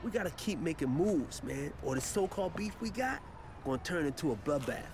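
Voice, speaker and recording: An American actor playing a confident, easygoing hip-hop mogul in a video game, talking laid-back street slang. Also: male voice, a little high, sing-song, fairly quick, background noise. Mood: assured, friendly. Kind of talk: video game cutscene acting.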